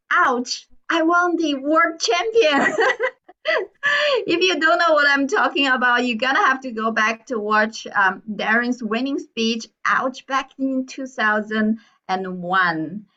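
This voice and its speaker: Woman club president hosting an online meeting; higher, bright voice, unhurried, muffled call audio. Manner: friendly, laughs between remarks. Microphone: video call audio.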